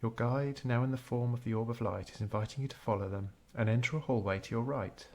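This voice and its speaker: A man narrating a scripted guided meditation in a measured, unhurried storytelling way. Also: medium pitch, steady tone, a little mellow.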